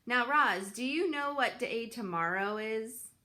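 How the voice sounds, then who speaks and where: A woman's voice: fairly high pitch, lively intonation, a little slow, sharp tone. A woman voicing a chatty, bossy, encouraging old female goose in a children's storybook. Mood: cheerful, bossy.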